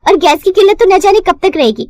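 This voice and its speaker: A woman voicing an outspoken young college girl who complains to her family in an animated moral story. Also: extremely high pitch, fairly quick, no room sound, clean studio sound, pitched above the performer's own voice. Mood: complaining. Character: young female college student, outspoken, complaining sister-in-law.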